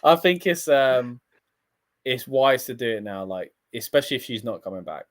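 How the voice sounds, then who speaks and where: A man co-hosting a casual livestream, talking fast in excited bursts and restarting his sentences. His voice is mid-pitched. The sound is dry, with no room echo. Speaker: co-host of a wrestling livestream.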